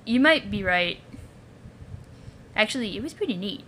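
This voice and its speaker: A woman's voice: medium pitch, expressive, normal speed, fairly bright tone, slight background noise, pitched below the performer's own voice, natural voice. A woman voicing a meek, insecure young male ghost troll, tentative and mild, often trailing off. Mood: shy gratitude.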